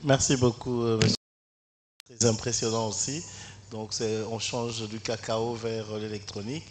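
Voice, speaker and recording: A man with a fairly low voice moderates a panel. He gives short, polite introductions, with a little faint noise in the background. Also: little pitch movement, normal speed.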